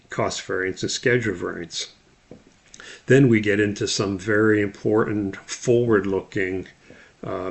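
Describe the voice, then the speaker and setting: A man giving an instructional lecture, explaining things methodically step by step as he talks through slides. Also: deep voice. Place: voice-over on a slide screen recording.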